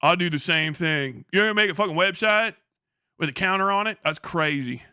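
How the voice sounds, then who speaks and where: A man's voice: sits high, a little fast, clean tone, no room sound, clean studio sound, pitched below the performer's own voice. A man voicing a dazed, sycophantic stoner bro, slangy and drawling as he hypes up his friend in a comic rant. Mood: awed, flattering. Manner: slangy, awed, drawling flattery.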